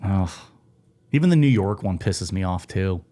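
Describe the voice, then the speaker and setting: A man's voice: sits low, expressive, moderate pace, mellow. A man from Ohio hosting a podcast, chatting casually, joking and ranting with frequent laughs. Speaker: podcast host. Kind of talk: casual chat.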